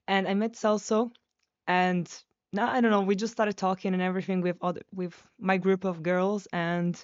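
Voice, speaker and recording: Italian girl in her 20s, chatty, smooth clear voice, kinda muffled recording. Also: mid-range voice, flat delivery, normal speed, no echo. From Sardinia, Italy. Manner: chatty, searches for English words, slips into French. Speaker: guest. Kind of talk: casual podcast chat. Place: student residence room.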